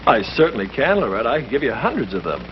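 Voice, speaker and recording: A priest speaking earnestly to a small group, with a lively, preacherly way of stressing his points. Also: medium pitch, quick pace. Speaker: priest leading a discussion. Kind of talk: earnest scripted speech in a 1951 short film. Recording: muffled old film soundtrack with hiss.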